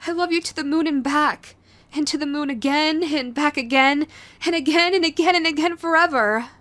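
A woman's voice: sits high, moderate pace, fairly bright tone. A woman playing a young, sweet, clingy yandere girlfriend in an intimate audio roleplay, with a cutesy, hesitant manner. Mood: touched, adoring. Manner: cutesy, hesitant, gushing pet names. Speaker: voice actress.

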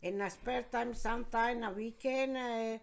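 Older woman, halting. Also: a little high, steady tone, vocal fry. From Croatia. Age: 70s. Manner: halting, plain broken English with many pauses. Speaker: interview guest, grandmother. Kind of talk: casual interview.

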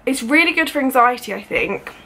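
A woman's voice: high voice, lively intonation, fairly quick, pushed and bright. A British woman in her twenties chatting casually to camera in an informal, self-deprecating way, like a home vlogger. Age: 20s. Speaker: cleaning and lifestyle vlogger. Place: indoors at home, living room and kitchen.

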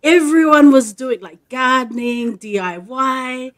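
A woman's voice: sits high, takes it slowly. A woman, hosting a casual video-call interview with upbeat questions. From New Zealand. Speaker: host. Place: home room with dark curtain, indoors.

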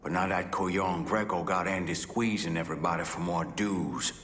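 An actor playing a gruff, bitter working-class dock union man in a video game, blunt and profane. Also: low-pitched, fairly even intonation, moderate pace, fairly bright tone, slight background noise. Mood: grumbling, bitter.

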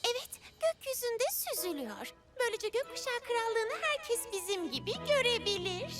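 A determined, cheerful little girl adventurer from an animated series. The voice is extremely high and swoops up and down, expressive and exclamatory. Loud music plays behind it. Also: moderate pace. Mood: proud, eager. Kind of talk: animated children's cartoon dub acting.

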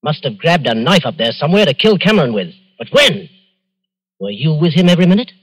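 Old-time radio role: confident, well-bred Englishman, lively intonation with some rasp. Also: fairly high pitch, fairly quick. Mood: grave, urgent. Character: breezy, self-assured upper-class English gentleman.

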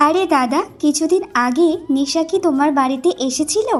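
A woman plays a jealous, self-serving village wife in a cartoon folk tale. Her voice is very high, with a complaining, questioning manner, over soft background music. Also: normal speed, close to an everyday voice. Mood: probing. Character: envious, greedy younger village wife, curt and self-serving. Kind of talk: Bengali fairy-tale cartoon dubbing.

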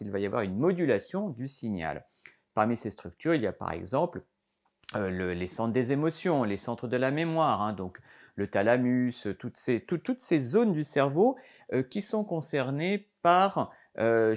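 A middle-aged male doctor lecturing straight to camera, his pitch rising and falling in an animated sing-song as he explains. He talks with his hands and keeps stopping to restart a phrase or drop in a filler. The sound is close and clean, like a lapel mic in a studio. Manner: lively, explains with hand gestures, many fillers and restarts. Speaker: doctor giving a health lesson. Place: studio before a green backdrop.

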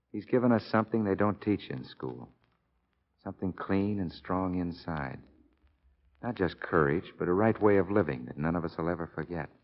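Male actor as modest young American athlete, deep, laconic delivery, 1940s radio drama, muffled vintage sound. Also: lightly acted. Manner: laconic, offhand. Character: laid-back, modest young male college football player. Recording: dull lo-fi 1940 radio recording.

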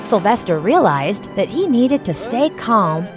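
A woman tells a children's bedtime story at a medium pitch. Her delivery is very animated and clearly enunciated, with music playing behind her. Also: unhurried. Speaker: narrator. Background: background music.

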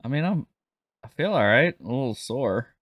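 A man's voice: mid-range voice, animated, normal speed, fairly bright tone, no room sound, clean studio sound. A man chatting casually as he plays and streams a roleplay game.

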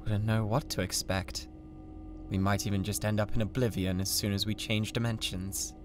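Guy doing a british mad scientist asmr, low voice, cold n condescending, theatrical, noisy ambience behind. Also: flat delivery, normal speed, close to an everyday voice. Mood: grandiose, controlled. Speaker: ASMR voice actor. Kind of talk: ASMR roleplay, scripted drama.

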